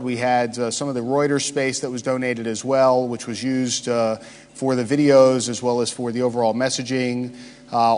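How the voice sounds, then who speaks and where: Man presenting in a reverberant hall. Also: mid-range voice. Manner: halting, many "uh" fillers. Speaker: conference speaker. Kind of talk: conference presentation. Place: large conference hall.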